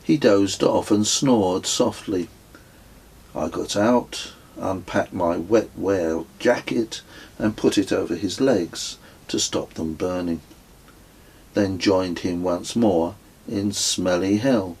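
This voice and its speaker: A man reading his own literary travel story as scripted narration, in a measured, descriptive way. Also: low voice, vocal fry, darkish tone, breathy edge, low-quality recording. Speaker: author reading own book.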